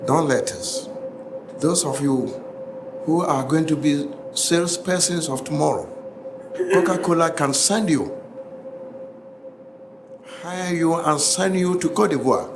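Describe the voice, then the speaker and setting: A man's voice: fairly high pitch, measured pace, long pauses. A man with a Ghanaian accent giving an emphatic classroom lecture, repeating phrases for stress. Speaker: college lecturer. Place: classroom, indoors. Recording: echoey classroom, noisy, room ambience.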